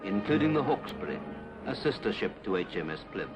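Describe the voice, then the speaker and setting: A British man reads a scripted 1950s public information film narration in a formal, measured, clipped manner. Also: fairly low pitch, big pitch swings. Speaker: documentary narrator. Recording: 1950s film soundtrack with music.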